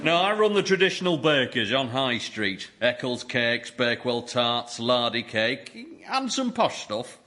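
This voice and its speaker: An actor playing a plain-spoken, old-fashioned Northern English baker, beleaguered and blunt, in a radio sitcom. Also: male voice, mid-range voice, sing-song, unhurried, few pauses, sharp tone, slight background noise. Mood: matter-of-fact. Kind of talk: radio sitcom acting before an audience.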